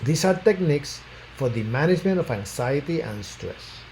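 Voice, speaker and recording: Measured, mellow-voiced physician from Puerto Rico. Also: male voice, medium pitch, lively intonation. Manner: measured, formal delivery that trails off at phrase ends. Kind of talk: prepared self-introduction. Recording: faint background noise.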